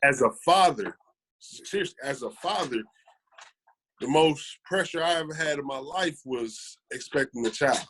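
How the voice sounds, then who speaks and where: A man's voice: a little high, lively intonation, normal speed, a bit bright, slight background noise, rough recording. An American man in his thirties talking casually and earnestly as a podcast guest, often repeating phrases for emphasis. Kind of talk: casual conversational storytelling.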